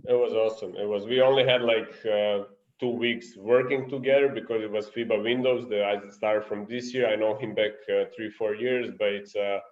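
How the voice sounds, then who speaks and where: A man's voice: on the low side, fairly even intonation, normal speed, few pauses, highs cut off. A man from Serbia with a Serbian accent, a guest coach speaking earnestly in English on an online coaching clinic and searching for his words. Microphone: video call.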